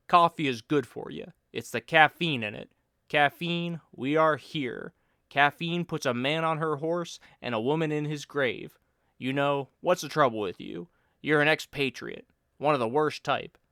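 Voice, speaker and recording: A lazy, wisecracking friend who mock-lectures and needles his companion, as a man reading the story puts him on. The voice sits higher and much louder than the narration, bantering and bossy. Smooth, resonant tone in a dry, close recording. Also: a little slow, no room sound. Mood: mock lecturing.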